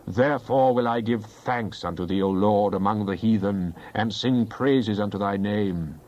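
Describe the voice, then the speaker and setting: A man's voice: lowish voice, a little slow, a little mellow. Solemn man reading scripture, slightly dull audio. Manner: solemn, declamatory delivery. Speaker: scripture reader. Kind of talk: dramatized Bible reading.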